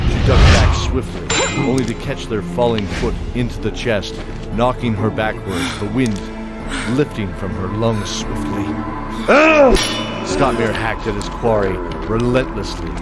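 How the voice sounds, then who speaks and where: A man reads scripted fantasy narration as a dramatic storyteller. His voice sits on the low side, and loud music plays behind him throughout.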